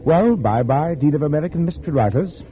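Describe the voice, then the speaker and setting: An actor playing a gossipy, dismissive rival writer in a 1940s radio drama. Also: male voice, fairly high pitch, lively intonation, fast talker, natural voice. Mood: smug. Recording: dull old radio, crowd chatter.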